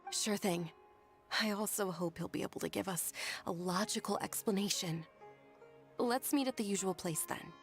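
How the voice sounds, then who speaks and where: A woman voicing a calm, dutiful young noblewoman who speaks reassuringly, with game music behind. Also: medium pitch, normal speed, natural voice. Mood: agreeable.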